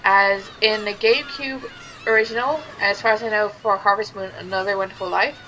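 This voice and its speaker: A woman with a hoarse voice from a sore throat, casually commenting on a game she is playing and explaining its details step by step. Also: medium pitch, steady tone, brisk, bright, forceful tone, a little hiss or hum, rough recording. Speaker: let's play gamer. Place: room at home.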